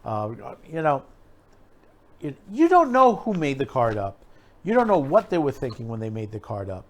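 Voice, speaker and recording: A man's voice: medium pitch, huge pitch swings, normal speed. A man hosting an opinion video, talking casually and emphatically to viewers in a rambling, repetitive way. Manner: rambling, repeats himself, emphatic. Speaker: host of an opinion video channel.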